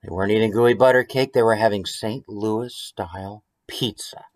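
A man in his forties with an American accent, hosting a story time in an expressive, conversational way with chatty asides. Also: fairly low pitch, normal speed. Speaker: author reading his picture book. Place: small room at home, indoors.

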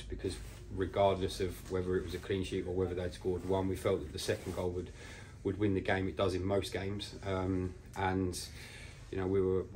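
A man in his forties with a deep voice speaks as a football manager after a match. His delivery is measured and flat, pausing mid-thought. He is recorded on a camera mic indoors with slight background noise. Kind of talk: post-match interview. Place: small bare indoor room.

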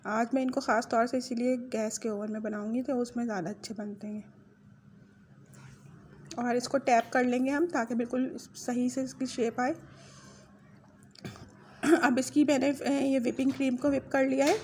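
A woman's voice: fairly high pitch, steady tone, moderate pace, pauses often, a little mellow, a little hiss or hum. A woman hosting a home cooking video, chatting her way through a recipe and correcting her own measurements as she goes. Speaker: home cooking channel host. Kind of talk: casual recipe walkthrough. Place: home kitchen.

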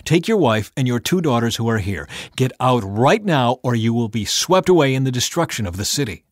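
A man's voice: mid-range voice, sing-song, brisk, dry recording. A man reading Scripture plays an angel who orders a family to flee, stern and urgent. His voice rises sharply on each command. The sound is dry.

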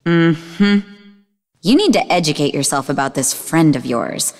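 A sarcastic young woman psychic from a video game. Her pitch swings in a lively way as she teases and needles with a sharp wit. The voice sits in a long reverb. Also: measured pace. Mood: teasing. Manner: sarcastic, teasing.

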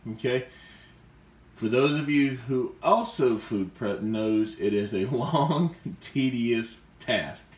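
A man casually reviewing a skillet while he cooks in his home kitchen, talking in a chatty, enthusiastic way with restarts and fillers. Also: mid-range voice. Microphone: camera mic, speaker off screen. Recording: echoey kitchen with faint background noise.

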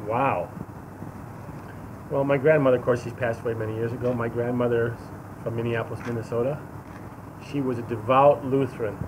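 A man's voice: medium pitch, fairly even intonation, a little fast, long pauses. An American man in his sixties giving a casual, earnest, emphatic personal testimony, often repeating himself. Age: 60s. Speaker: interview guest giving testimony. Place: outdoors against a sunlit wall. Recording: outdoor phone recording, clear traffic noise. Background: vehicle and street noise.

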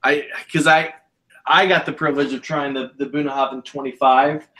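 A man's voice: mid-range voice, fairly even intonation, moderate pace, bright, forceful tone. A man in his thirties, an effusive, emphatic guest reviewer chatting casually on a video call from home. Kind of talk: casual chat.

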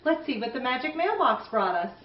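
Middle-aged woman, children's host, expressive swooping intonation, questioning manner, slightly muffled roomy recording. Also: high-pitched, a little fast, far from the mic. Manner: animated, asking the listener questions. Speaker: children's show host and storyteller. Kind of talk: children's storybook reading and chat to young viewers.

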